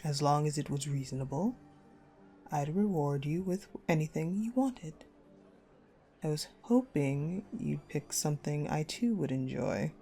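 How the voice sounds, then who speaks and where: A woman playing a cold, possessive ice-queen general in a dark romance audio roleplay, commanding and menacing. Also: low-pitched. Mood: reluctant, disappointed. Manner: commanding, menacing, slow and deliberate, dropping to hushed.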